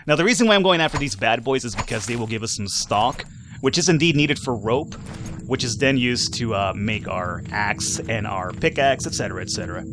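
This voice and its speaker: A young man talks through a game in a loose, rambling way, full of "like" and little jokes. His voice is going slightly hoarse. Faint game ambience sits underneath. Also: mid-range voice, expressive, a little fast, noisy background. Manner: rambling, filler-heavy, joking. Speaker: let's play host. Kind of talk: casual gameplay commentary.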